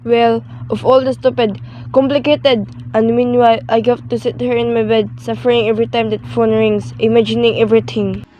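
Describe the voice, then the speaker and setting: A woman's voice: on the high side, level tone, brisk, muffled recording, natural voice. A woman playing a nervous, demanding invalid wife in a melodramatic suspense radio play. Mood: irritated. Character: imperious, nervous bedridden invalid wife, frantic and self-pitying. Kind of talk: suspense radio drama acting.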